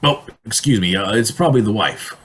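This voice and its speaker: A man playing a blustering, bossy company boss in a radio drama, excitable and sputtering. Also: on the low side, a little fast, slightly rough. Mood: sheepish. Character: blustering, excitable male company boss, in denial then panicking.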